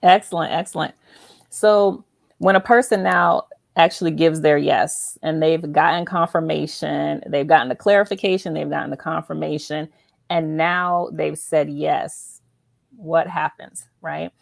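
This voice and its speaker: Low-pitched, creaky-voiced woman host. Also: steady tone, measured pace, no echo, clean studio sound. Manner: encouraging, emphatic, checks in with "right?" and "okay?". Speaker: show host interviewing an author. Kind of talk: earnest faith-based interview talk.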